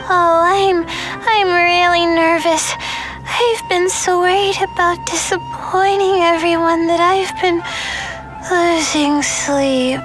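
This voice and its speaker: A woman's voice: very high-pitched, fairly even intonation, takes it slowly, music in the background. A woman voicing a timid, anxious young female student in a video game, hesitant and meek, with stammered starts. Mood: nervous. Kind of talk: scripted video game character acting.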